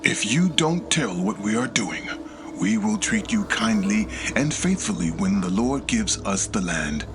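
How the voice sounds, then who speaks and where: A man's voice: fairly low pitch, very animated, unhurried, music in the background. A man playing a solemn Israelite spy in a dramatized scripture reading, speaking firmly. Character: two solemn male Israelite spies making an oath.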